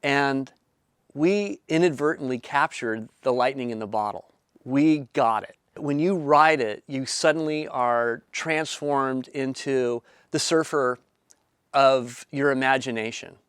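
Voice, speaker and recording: A man in his fifties, a company founder earnestly explaining his invention in a pitch video, drawing out key words as he talks. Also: a little slow, a bit bright, clear, resonant tone, no echo, studio quality. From Southern California. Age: 50s. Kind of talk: pitch video talk to camera.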